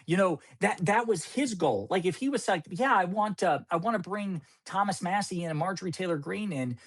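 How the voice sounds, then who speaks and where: Male podcast co-host, slightly high voice, insistent, debating tone. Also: moderate pace. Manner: insistent, lawyerly, repeats his point. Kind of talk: casual political debate.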